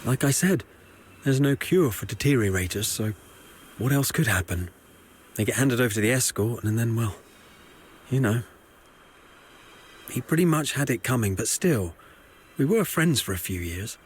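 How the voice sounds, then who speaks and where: An actor playing a nervy, talkative foot soldier in a video game, confiding and evasive in a conversational way. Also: male voice, medium pitch, lively intonation, normal speed, pauses often, a little hiss or hum, close to an everyday voice. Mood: confiding, somber. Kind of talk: video game character dialogue.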